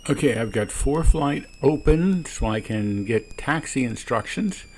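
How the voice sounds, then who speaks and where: A man who is a pilot, giving calm explanatory commentary on his own flight from the cockpit of a small plane. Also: medium pitch, measured pace. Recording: noisy small-plane cockpit, radio transmissions.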